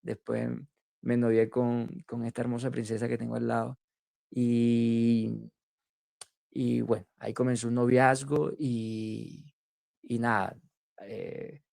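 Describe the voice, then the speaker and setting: A man's voice: medium pitch, little pitch movement, takes it slowly, vocal fry, a little mellow, dry recording, clean studio sound. A man sharing his personal faith testimony in an interview, hesitant and full of fillers and restarts.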